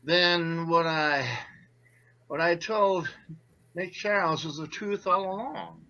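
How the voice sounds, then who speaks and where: A man's voice: on the high side, steady tone, slow pace, bright, forceful tone, highs cut off. A man playing a blunt, gullible, anxious gangster henchman in a 1940s-style radio play, slangy and eager to please. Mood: puzzled, worried.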